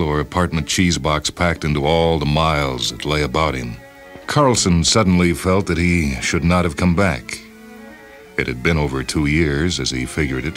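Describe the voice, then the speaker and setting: Very deep-voiced man reading fiction. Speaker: host and story reader. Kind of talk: scripted literary narration. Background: music and sound cues.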